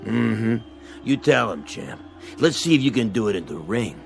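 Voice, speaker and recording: An actor playing a sleazy boxing manager in a radio drama, sarcastic and threatening. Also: male voice, fairly low pitch, sing-song, normal speed, gravelly, soft background music. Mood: sneering.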